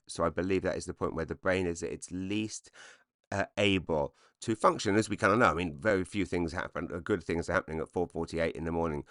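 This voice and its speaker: A man in his forties with a slightly croaky voice, rambling self-deprecatingly through a comedic solo podcast monologue. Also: sits low, fairly bright tone, dry recording, clean studio sound. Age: 40s. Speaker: podcast host, stand-up comedian.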